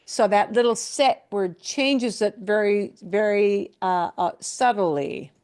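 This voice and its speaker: Slow-paced patient woman teacher. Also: mid-range voice, darkish tone, a little hiss or hum. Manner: patient, repeats words slowly. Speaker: Spanish teacher. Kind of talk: classroom explanation.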